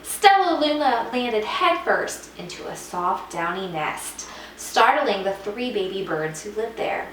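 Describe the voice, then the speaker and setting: A woman narrates a picture book with expressive, dramatic emphasis. Her voice is mid-pitched, recorded a little away from the mic in an echoey room. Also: measured pace, hardly any pauses. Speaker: teacher reading aloud. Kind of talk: expressive scripted storybook narration. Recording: echoey room, distant mic, faint noise.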